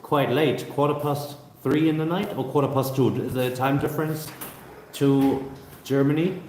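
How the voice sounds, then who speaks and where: Brief: thirties male travel vlogger, relaxed hesitant live Q&A on a phone, roomy echo, faint background ambience. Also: mid-range voice, moderate pace, slight background noise. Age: 30s. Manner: relaxed, hesitant with many fillers and restarts. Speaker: travel vlogger answering viewer questions. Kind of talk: casual live stream Q&A. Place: busy hostel co-working space. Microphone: phone camera.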